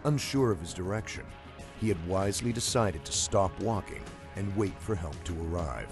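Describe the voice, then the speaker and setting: A man with a very low voice delivers scripted documentary narration. His reading is measured and story-like, with lively movement in pitch. Clear music plays behind him.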